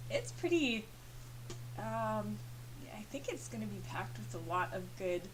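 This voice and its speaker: Chatty lady talking to her camera, lots of ums, mid pitch, hum behind her. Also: rough recording. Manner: chatty, many fillers and small laughs. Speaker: vlogger. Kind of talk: casual chat to camera.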